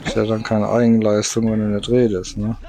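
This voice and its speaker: A man's voice: on the low side, monotone, brisk. A man casually commenting while playing a video game, rambling and hesitant, often putting himself down. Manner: rambling, hesitant, self-deprecating. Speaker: let's play gamer.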